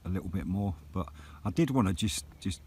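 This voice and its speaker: A man's voice: low-pitched, brisk, darkish tone, slight background noise. Casual Englishman vlogging with a CB radio. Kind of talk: casual vlog chat and radio exchanges. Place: outdoors on a hilltop.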